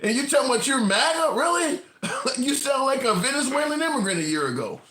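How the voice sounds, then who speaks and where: A man talks politics in a loose, profane, animated way and swears freely. His voice sits fairly high for a man. The recording has a noticeably echoey room around him. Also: brisk, slight background noise. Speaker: political commentator host. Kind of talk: casual political chat.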